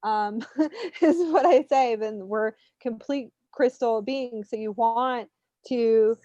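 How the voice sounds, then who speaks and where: Woman, higher-pitched voice, spiritual coaching chat, giggly and animated, rambling, slightly muffled stream audio. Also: takes it slowly. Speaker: spiritual reader hosting a live readings session. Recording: muffled, roomy, lo-fi online stream.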